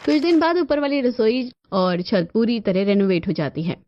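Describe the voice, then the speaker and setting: High-voiced woman narrating a cartoon story. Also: very animated, moderate pace, no echo. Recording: muffled lo-fi sound with music bed.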